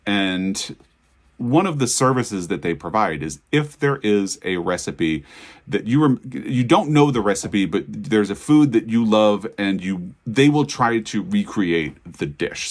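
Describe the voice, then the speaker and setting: A man with a fairly low voice gives a casual reading update. He talks in a loose, rambling way, doubling back and correcting himself as he thinks through what he liked. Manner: rambling, self-correcting, thoughtful. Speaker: book reviewer. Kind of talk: casual book talk monologue.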